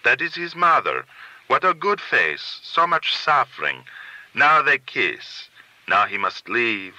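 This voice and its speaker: Man voicing an earnest Russian woman, raised pitch. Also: sing-song, takes it slowly, pushed and bright, no room sound, heavily acted, rough recording. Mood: tearful, moved. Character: shy, emotional Russian woman, earnest interpreter.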